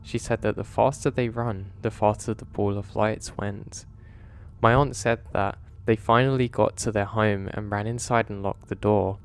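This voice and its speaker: A man calmly narrating listener-submitted horror stories in a measured, scripted delivery. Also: lowish voice. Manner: calm, measured storytelling delivery.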